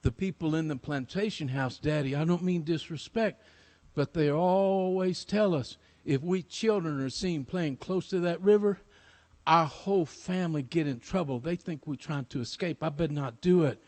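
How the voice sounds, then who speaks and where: A man's voice: fairly high pitch, normal speed, darkish tone, lightly acted. A man playing a thin, respectful ten-year-old boy, hesitant and earnest, in live storytelling. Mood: cautious. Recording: echoey hall with long reverb.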